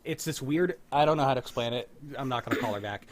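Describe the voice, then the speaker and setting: A man's voice: mid-range voice, fast, crowd noise. A man hosting a livestream, chatting in a rambling, candid, self-deprecating way over a video call. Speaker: livestream host. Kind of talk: casual group video chat.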